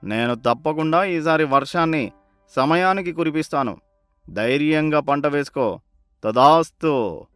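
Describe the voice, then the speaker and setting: A man's voice: on the high side, animated, measured pace, long pauses, fairly bright tone, clear, resonant tone, no room sound, soft background music. A man voicing a village temple priest relaying a divine message in a moral story, solemn and reassuring. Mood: benevolent. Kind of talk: animated moral story character acting.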